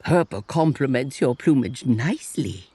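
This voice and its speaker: A woman's voice: extremely low pitch, lively intonation, moderate pace, dark tone, slightly rough, no room sound, exaggerated voice. A woman voicing a gracious, kindly grandmother in a motion comic, speaking in a genteel, courteous manner. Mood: warm greeting.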